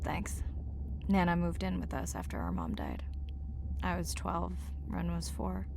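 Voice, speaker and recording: A woman playing a warm, playful young whale researcher in a video game, talking in a casual, teasing, conversational way. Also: lowish voice, big pitch swings, measured pace, gravelly, noisy background, full character voice. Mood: reflective. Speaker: lead character, ocean scientist. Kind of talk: video game cutscene dialogue, naturalistic.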